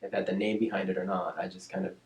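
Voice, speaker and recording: A man who grew up in Oakland, California, with Spanish as his first language, reflecting on his life in an interview at a thoughtful, measured pace with frequent ums and restarts. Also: low voice, level tone, darkish tone, echoey room. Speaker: interview guest, speech-language pathologist.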